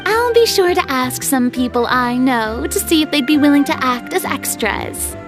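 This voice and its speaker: A woman's voice: high-pitched, expressive, moderate pace, music in the background. A woman playing a theatrical, proud and playful young celebrity in a video game, with a pompous, dramatic manner. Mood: boastful.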